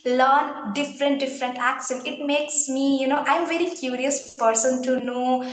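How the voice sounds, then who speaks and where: A woman's voice: high voice, fairly even intonation, normal speed, slight background noise. Hesitant Indian woman, roomy echo. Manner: hesitant, full of fillers and restarts. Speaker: English learner being interviewed. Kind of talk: casual conversation.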